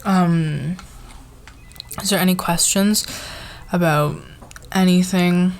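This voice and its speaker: Casting: actress as supportive woman boss for ASMR roleplay, lowish voice, very slow pace, soft halting meeting talk. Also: fairly even intonation. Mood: appreciative, encouraging. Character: friendly, encouraging female boss leading a team meeting.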